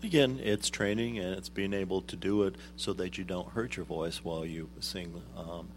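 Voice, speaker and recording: A middle-aged man with a very low, deep voice speaks in a measured, explanatory way, pausing often with ums. A faint hum sits under the recording. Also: steady tone. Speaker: guest, arts executive director. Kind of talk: radio interview.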